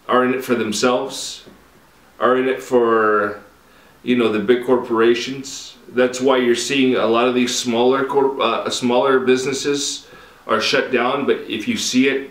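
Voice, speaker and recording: A man speaks in a middle-pitched voice. He hesitates often, with ums and restarts. The room around him is echoey. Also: a bit bright. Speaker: conversation partner. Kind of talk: casual conversation.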